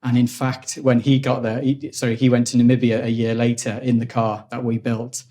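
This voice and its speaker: A man in his forties from Liverpool with a Liverpool accent, a guest telling stories in a relaxed interview and often repeating words while he thinks. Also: medium pitch, normal speed. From Liverpool, England. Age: 40s. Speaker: guest, engineering executive. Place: office at company headquarters.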